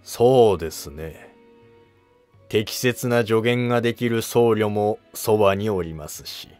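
A man voicing a wily court minister, formal, measured and evasive, in a historical drama animation. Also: fairly low pitch, darkish tone, soft background music, natural voice. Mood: guarded, wily.

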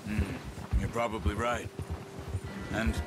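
A man playing a wary, level-headed American outlaw hunter in a western video game, measured and understated. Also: fairly low pitch, level tone. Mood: concerned. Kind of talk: video game cutscene acting. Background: loud music.

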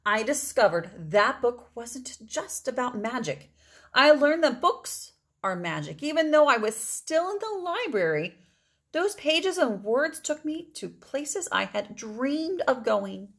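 A middle-aged woman reading a children's picture book aloud expressively, with deliberate phrasing and emphatic pauses. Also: a little high, a bit bright. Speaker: read-aloud storyteller.

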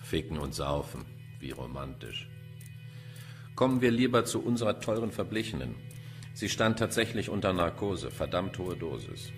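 A man's voice: deep voice, very animated, normal speed, soft background music. An actor playing an older, cynical, pompous male pathologist in a crime radio play. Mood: sardonic, clinical. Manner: pompous, dry, theatrical. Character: sardonic, cynical, poetry-quoting male forensic pathologist. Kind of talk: German crime radio drama acting.

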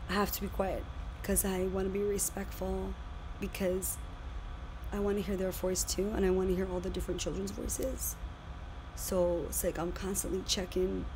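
A woman teacher in her thirties with a big voice, lecturing her class animatedly over a video call. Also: mid-range voice. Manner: animated, repeats and restarts phrases. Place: home room, indoors. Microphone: webcam on video call. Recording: noisy webcam audio.

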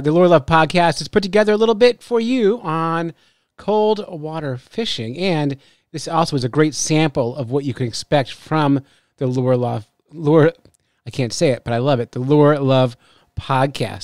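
A man's voice: a little high, expressive, unhurried, no room sound. Casual male podcast host, dry sound.

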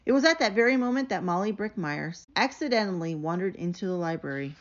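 A woman giving an animated, expressive read-aloud of a children's picture book. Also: lowish voice, quick pace. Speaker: storybook reader. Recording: dull, lo-fi sound.

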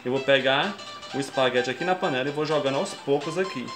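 A man's voice: mid-range voice, quick pace. A young Brazilian man hosting a casual home cooking tutorial, walking viewers through each step in a friendly, chatty way. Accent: Brazilian Portuguese. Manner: chatty, explains each step and keeps addressing viewers as folks. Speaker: cooking show host. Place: home kitchen, indoors. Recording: echoey kitchen with background music.

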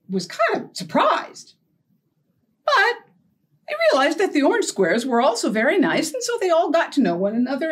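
Animated, high-voiced American storytime woman. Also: slightly rough. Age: middle-aged. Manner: animated, encouraging, addresses young children with questions. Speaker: children's librarian leading storytime. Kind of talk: children's storytime, simple storytelling and teaching. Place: indoor storytime set with backdrop and felt board.

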